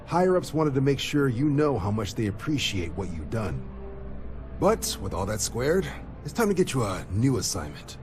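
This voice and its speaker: Guy acting as a hearty commander, very lively pitch, informal but in charge, game music faintly behind. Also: normal speed, natural voice. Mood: businesslike, affable. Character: affable male military commander, approving and businesslike. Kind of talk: video game dialogue.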